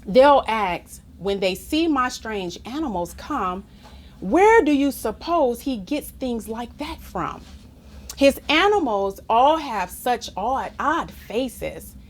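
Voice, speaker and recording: Female storybook reader, unhurried and highish in pitch, playful and emphatic, with big melodic swings. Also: animated. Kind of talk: expressive children's read-aloud with asides to listeners.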